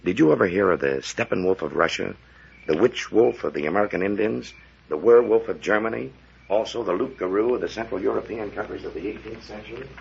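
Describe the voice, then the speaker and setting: An actor playing a portentous, deliberate man telling of monster legends in a 1940s radio drama. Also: fairly low pitch, vocal fry, breathy edge, exaggerated voice. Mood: ominous. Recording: muffled vintage 1940s radio recording.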